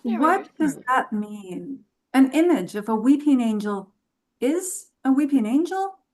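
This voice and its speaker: Woman doing puzzled adventurer lady in a sci-fi drama, high pitched, slow, asking questions. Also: animated, a little mellow, poor audio. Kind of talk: script table read.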